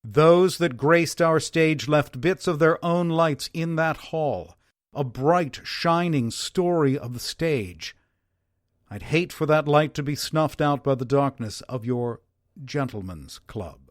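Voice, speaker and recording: A man playing an earnest, wistful former theater owner from early-1900s Wisconsin, reading a letter in a formal, measured way. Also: on the high side, no echo, clean studio sound. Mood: solemn pleading.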